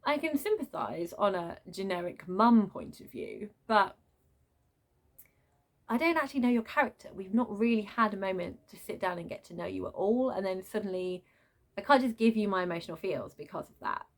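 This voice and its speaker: A woman with a mid-pitched voice talks through a book in a casual, unscripted vlog style. She sounds exasperated, dropping in animated asides as she airs her complaints as a reviewer. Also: moderate pace.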